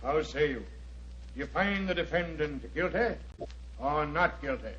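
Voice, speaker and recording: An actor playing a formal, stern court official in 1940s radio drama style. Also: male voice, fairly high pitch, expressive, unhurried, fairly bright tone. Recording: muffled, noisy old radio recording.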